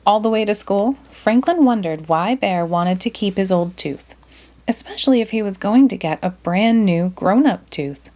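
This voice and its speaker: A woman narrating a children's picture book aloud in an expressive, deliberate way. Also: medium pitch.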